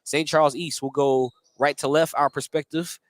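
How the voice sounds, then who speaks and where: A man's voice: fairly high pitch, level tone, moderate pace, no room sound. An American man doing live play-by-play radio commentary of basketball from a gymnasium. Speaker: play-by-play sports announcer. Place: indoor high school gymnasium. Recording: crowd noise behind the voice.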